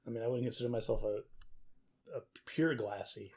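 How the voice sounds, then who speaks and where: A man's voice: medium pitch, steady tone, brisk, creaky, darkish tone. A man co-hosting a podcast, chatting casually and explaining things off the cuff. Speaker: podcast co-host. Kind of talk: casual unscripted banter and game explanation.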